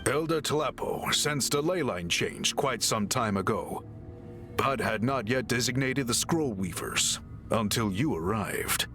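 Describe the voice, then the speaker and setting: A male actor plays a courteous, earnest chief of a tribe in a fantasy video game. His delivery is measured and formal, yet the pitch moves with plenty of expression. Music plays clearly behind his voice. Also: lively intonation, close to an everyday voice. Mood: solemn, explanatory. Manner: formal, measured, deliberate phrasing. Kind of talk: scripted video game dialogue. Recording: background music under the voice.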